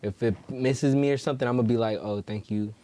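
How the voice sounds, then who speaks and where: A man's voice: mid-range voice, fairly quick, mellow, no room sound. A man in casual podcast conversation, talking in slangy, profane phrases that he repeats for emphasis. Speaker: podcast co-host. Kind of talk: casual chat.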